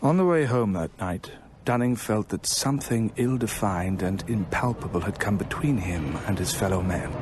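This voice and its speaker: An actor playing a measured, ominous narrator with an English accent and a husky voice, telling a ghost story in a radio drama. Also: male voice, on the low side, noisy background, lightly acted.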